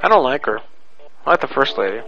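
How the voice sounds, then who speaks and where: A man's voice: medium pitch, expressive, fast, vocal fry, pushed and bright, faint music behind. A man making a prank phone call in a crude, pushy, mock-serious manner. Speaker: prank caller.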